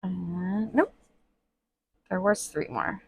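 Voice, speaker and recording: A woman chatting casually while working at a table, with many fillers, softly murmuring and sniffling a little from allergies. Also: lowish voice, extremely slow, gravelly, husky. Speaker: reborn doll artist. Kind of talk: casual crafting tutorial vlog. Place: indoors at a worktable.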